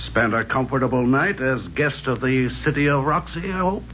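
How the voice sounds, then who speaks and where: Noisy old radio recording, man acting blustering American mayor, animated sharp voice, hostile, accusatory. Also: mid-range voice, moderate pace, lo-fi sound, natural voice. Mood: smug, hostile. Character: pompous, hostile small-town mayor interrogating a prisoner.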